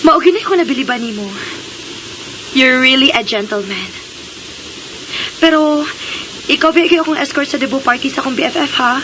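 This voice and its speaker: An actress voices a young daughter, admiring and outspoken. Her voice is high and sweet, heard on a noisy radio drama recording. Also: moderate pace, pauses often, natural voice.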